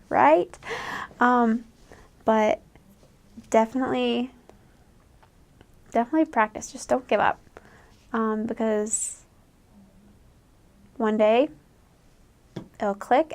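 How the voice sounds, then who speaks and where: A woman's voice: on the high side, measured pace, creaky, long pauses. A young woman from the United States, a returned missionary, giving chatty advice and laughing often as she acts out quoted voices. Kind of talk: casual advice talk.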